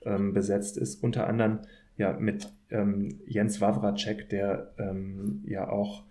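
A man's voice: lowish voice, level tone, slow, mellow. A man answering interview questions conversationally as a podcast guest, in thoughtful, hesitant, academic phrasing. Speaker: podcast guest, political scientist.

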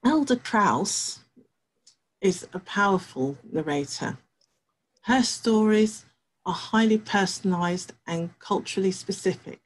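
A middle-aged woman researcher reading a prepared talk from her home study, measured and careful, with pauses. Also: medium pitch, expressive, breathy edge, poor audio.

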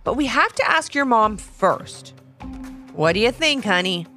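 An easygoing storybook dad, played by a woman, lively and folksy. He talks to his young children with good humour. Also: on the high side, unhurried, faint music behind, full character voice. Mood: giving in.

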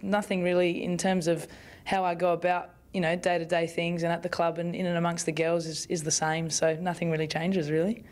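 A young Australian woman speaks into a cluster of press microphones in a club media room. Her voice sits low and stays fairly flat. She answers in a relaxed, rambling way, full of fillers and restarts. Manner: chatty, with many fillers and restarts, laughs easily. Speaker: football player at a press conference. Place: club media room in front of a sponsor backdrop. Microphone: bunch of press microphones on the table.

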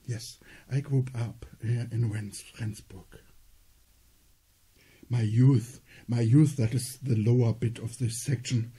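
A middle-aged man from northern Germany with a German accent, telling a slow, gentle solo theater story. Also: medium pitch, steady tone, husky. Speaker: theater performer.

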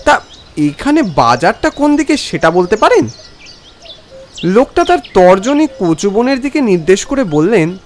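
A man narrating a scripted first-person story in a dramatic, expressive way. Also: sits high, a little slow, slight background noise.

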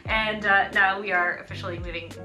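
A woman's voice: mid-range voice, fairly even intonation, a little fast, over music. A middle-aged woman with a Canadian accent, a guest chatting casually on a sofa in a friendly, playful way. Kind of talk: casual chat. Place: living room, seated on a sofa.

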